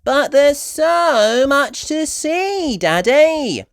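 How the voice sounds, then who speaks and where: An eager young girl pig from a children's storybook, acted out by a woman reader. The voice is high and squealing, swooping up and down with curious, cheerful excitement. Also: extremely slow, vocal fry, no echo, clean studio sound, full character voice.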